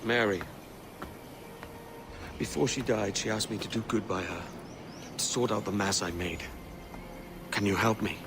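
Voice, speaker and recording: Low-voiced, weary pirate captain. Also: male voice, unhurried, creaky, pauses often, music in the background. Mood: rueful, weary. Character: weary, remorseful pirate captain, adult man. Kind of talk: video game cutscene acting.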